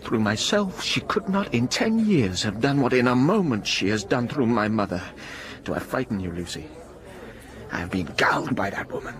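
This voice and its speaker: An actor playing a proud young English gentleman doctor in a period audio drama, speaking formally and with passion. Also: mid-range voice, expressive, moderate pace, lightly acted. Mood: wounded, indignant. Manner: impassioned, formal, eloquent. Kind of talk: period radio drama acting.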